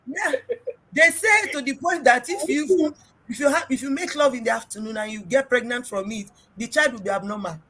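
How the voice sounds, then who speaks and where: Husky loud-voiced Nigerian woman. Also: high voice, normal speed. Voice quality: big loud voice. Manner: emphatic, storytelling, mixes in pidgin. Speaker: relationship talk host. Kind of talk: casual group discussion.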